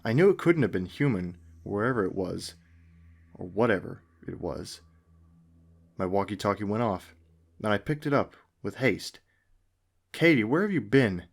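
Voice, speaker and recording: A man reading a scripted first-person horror story in a measured way, with dramatic pauses. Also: on the low side, expressive, creaky. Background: music.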